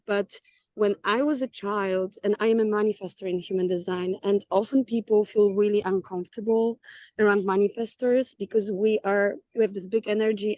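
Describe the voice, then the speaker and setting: A woman's voice: mid-range voice, a little mellow, dry recording. Polish-accented woman on a dull call line. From Poland. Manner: earnest, laughs often, halting restarts. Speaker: guest. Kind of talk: casual chat. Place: outdoors in a city.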